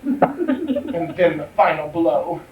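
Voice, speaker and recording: A man's voice: a little high, big pitch swings, slow pace. A man playing a middle-aged drag diva, wounded and grandiose, declaiming theatrically in stage musical comedy. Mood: wounded, melodramatic. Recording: distant echoey dull stage recording, noisy.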